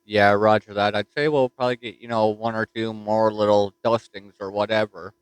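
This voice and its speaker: A man with a Newfoundland accent chatting casually as a ham radio operator from his home station, relaxed and easygoing. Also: lowish voice, moderate pace, smooth, no room sound. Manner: easygoing, says "roger that".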